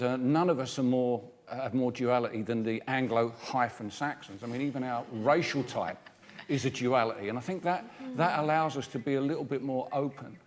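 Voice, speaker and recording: A man with an English accent, reflecting aloud as a panelist in a live discussion. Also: medium pitch. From England. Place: radio theatre stage, live debate. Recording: very reverberant theatre hall.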